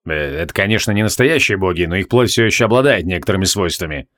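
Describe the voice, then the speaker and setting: Guy doing a gruff moody wizard in a fantasy audiobook, low mutter, terse and proud. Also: expressive, a bit bright. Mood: pensive. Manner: muttering, terse, pausing to think. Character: proud, irritable male mage, gruff and brooding.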